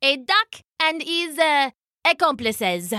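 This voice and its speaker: A woman doing a nervous high-voiced royal page. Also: big pitch swings, slow pace, sharp tone, no room sound, clean studio sound, exaggerated voice. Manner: hesitant, stammering. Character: nervous, well-buttoned male royal page.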